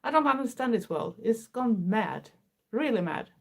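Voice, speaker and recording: A woman's voice: a little high, lively intonation, normal speed, creaky. A Swedish woman in her fifties with a Swedish accent, chatting earnestly to her viewers in English with small grammar slips. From Sweden. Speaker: livestream host. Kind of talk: casual chat.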